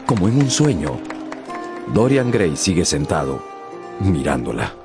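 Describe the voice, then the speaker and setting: A male narrator tells a dramatic story for radio. His voice is very deep and moves expressively up and down. Music plays clearly behind him. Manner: theatrical, deliberate storytelling.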